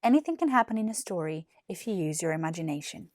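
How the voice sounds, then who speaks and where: A British woman in her twenties reading a children's story in an expressive, clearly articulated narration. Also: lowish voice, fast talker, no echo, clean studio sound. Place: small furnished room indoors. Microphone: lapel mic.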